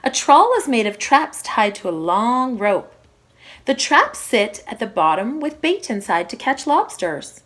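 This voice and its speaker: A woman reads a storybook to young children in the manner of a primary school teacher. Her pitch moves in a lively way, and she leans on key words with expressive emphasis. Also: unhurried. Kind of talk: children's storybook read-aloud.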